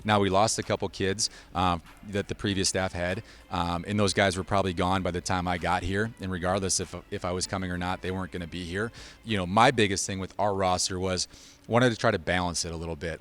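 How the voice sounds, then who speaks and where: A man's voice: low voice, a bit bright. A man speaking as a college coach in a sit-down sports interview, explaining things in a plain, informal way. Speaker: college basketball head coach.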